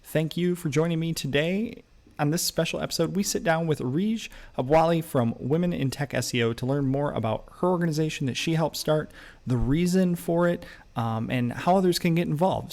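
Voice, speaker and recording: A man hosting a podcast, asking long, rambling questions full of repeated words. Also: medium pitch. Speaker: podcast host, company founder. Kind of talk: podcast interviewing.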